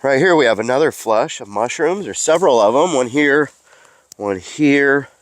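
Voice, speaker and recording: A man's voice: medium pitch, lively intonation, normal speed, dry recording, low-quality recording. A man with a stuffy nose, a mycology educator and host, chatting outdoors in a forest as he explains what he finds, with frequent fillers. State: stuffy from allergies. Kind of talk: casual outdoor teaching vlog.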